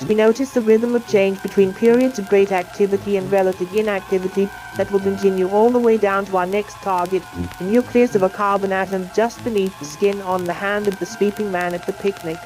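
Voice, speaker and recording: A woman's synthetic AI voice giving scripted educational science film narration in a measured, explanatory manner. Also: mid-range voice, fairly even intonation, darkish tone, poor audio. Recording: music playing under the voice. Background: music.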